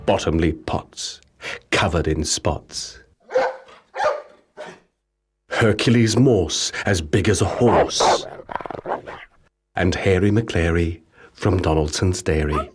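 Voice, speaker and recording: A man reading children's rhyming stories as an audiobook narrator, with measured, rhythmic, theatrical delivery. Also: low voice, heavy background noise. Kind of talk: scripted children's storybook narration in rhyming verse.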